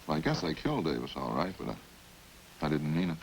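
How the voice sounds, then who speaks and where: An actor in a 1950s radio crime drama playing a chatty killer who confesses in a casual, folksy, matter-of-fact way. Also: male voice, rumbling low, very animated, fairly quick, creaky, exaggerated voice. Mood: uneasy, explaining. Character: chatty, hungry male killer calmly confessing over supper. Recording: hissy old-time radio recording.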